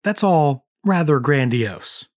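Hoarse, joking male podcast host. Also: on the high side, lively intonation, takes it slowly. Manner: joking, chatty, history-nerd asides. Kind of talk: casual chat.

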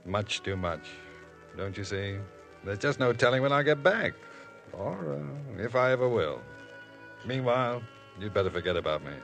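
A man's voice: mid-range voice, very animated, normal speed, vocal fry, a bit bright. A man playing an earnest young man about to ship out to war, tender and solemn with his sweetheart, in a 1950s radio drama. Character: young man, serious soldier-to-be and lover, later priest. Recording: old radio broadcast with music.

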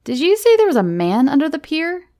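A woman's voice: sits high, big pitch swings, fairly quick, warm tone, voice pushed up. A woman voicing a sly, mocking man who teases in a mock-sinister way, in a story reading. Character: teasing, sly man, mocking adult lover of mother.

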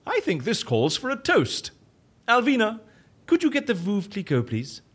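An eccentric, fussy boss in an audio comedy. His voice sits fairly high and leaps wildly up and down. He is theatrical and wordy, full of exclamations. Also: wildly swooping pitch, normal speed, a bit bright, breathy edge, exaggerated voice. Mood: flustered. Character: eccentric, fussy male boss with a cocoa obsession. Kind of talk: audio comedy drama acting.

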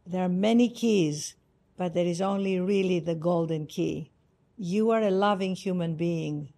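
A woman from Greece with a Greek accent, a spiritual author speaking in a warm, effusive and emphatic way as a podcast guest. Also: lowish voice, a little slow. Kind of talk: heartfelt interview talk. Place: Cartagena, Colombia.

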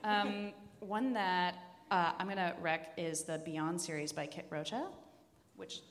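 A woman's voice: lowish voice, little pitch movement, unhurried. A woman chatting casually as a podcast host recommending books, enthusiastic and a little wry. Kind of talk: casual chat. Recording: reverberant hall.

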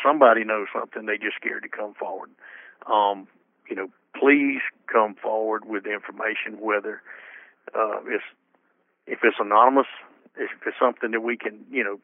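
A man, a law enforcement officer speaking earnestly and haltingly in an interview, with many restarts. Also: lowish voice, no echo. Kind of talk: interview remarks. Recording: tinny phone-like recording.

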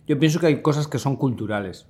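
A man hosts a relaxed interview with a friend. He asks his questions in a playful, teasing way. His voice is mellow and dark in tone, at a middle pitch. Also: fast talker. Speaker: host. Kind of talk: casual interview chat.

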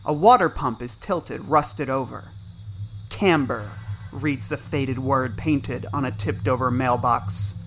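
A man narrating a scripted audio drama in a deliberate, descriptive manner. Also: mid-range voice, a bit bright, a little hiss or hum.

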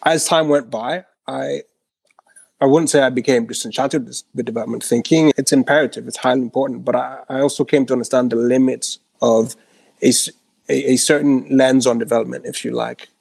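A man's voice: mid-range voice, fairly even intonation, fairly quick, creaky, darkish tone, breathy edge, no room sound. A man from Ghana giving a reflective, conversational account of himself as a panel guest, choosing his wording with care. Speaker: entrepreneur on a panel.

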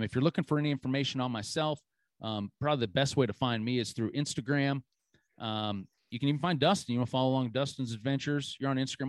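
A man's voice: lowish voice, no echo. A man hosting a hunting podcast, chatting casually and easygoing, with lots of "you know" fillers. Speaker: podcast host.

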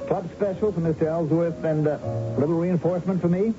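An actor playing a breezy, worldly club-going fixer with a casual manner in a 1970s radio drama. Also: male voice, on the high side, steady tone, a little fast, music in the background, lightly acted. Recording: muffled, noisy old radio broadcast.

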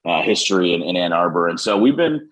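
A man with an American accent and a low voice talks as a business co-founder being interviewed. He explains things conversationally, padding his points with "you know" and "kind of". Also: expressive, fast, fairly bright tone. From United States, Western New York. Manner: hedging, often says "you know" and "kind of".

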